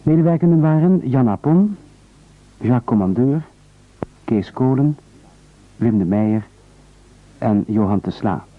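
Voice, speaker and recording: A man's voice: mid-range voice, lively intonation, unhurried, long pauses. A man reading formal scripted credits as a radio announcer. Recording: very narrow, phone-like old radio sound.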